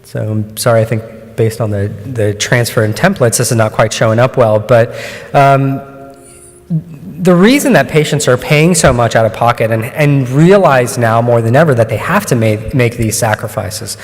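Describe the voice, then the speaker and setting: A man of medium pitch lectures as an oncologist, speaking in a measured, explanatory way and often stopping on ums to restart a phrase. His voice rings with the long reverb of a large hall, picked up by a lectern microphone. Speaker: oncologist giving a talk. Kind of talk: conference presentation.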